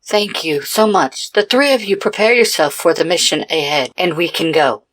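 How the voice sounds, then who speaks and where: Woman doing a serious lady team leader in a story, lowish voice, measured and earnest. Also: fairly bright tone, a little hiss or hum, close to an everyday voice. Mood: grateful. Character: commanding female team leader, earnest mission guide.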